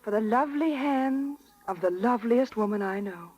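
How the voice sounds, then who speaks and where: A woman's voice: on the high side, lively intonation, moderate pace, darkish tone, slight background noise, lightly acted. An actress playing a loving, coy wife and mother in a 1930s radio commercial. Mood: affectionate. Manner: gushing, affectionate. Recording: dated 1930s radio broadcast.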